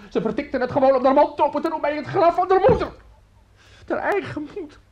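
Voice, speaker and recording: An actor in a radio drama playing a bitter, drunken old widower, rambling and halting as he repeats his words in self-pity. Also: very high-pitched, muffled recording. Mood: bitter ranting. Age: older.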